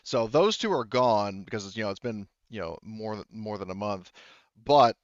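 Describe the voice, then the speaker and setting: A man acting as game master, improvising explanations in a halting way and often circling back to rephrase himself. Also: lowish voice, lively intonation, no echo, muffled recording. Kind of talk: improvised narration for a tabletop roleplaying game.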